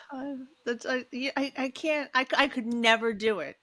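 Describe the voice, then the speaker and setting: A woman's voice: high voice, moderate pace, a bit bright. A woman podcast host chatting casually with a guest, swearing freely and giggling. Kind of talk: casual chat.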